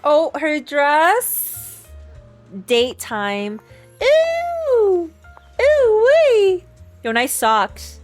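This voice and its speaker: A woman's voice: extremely high pitch, huge pitch swings, drawn-out words, soft background music. A woman streaming her casual, slangy and playful reactions to a game, sometimes reading its text aloud.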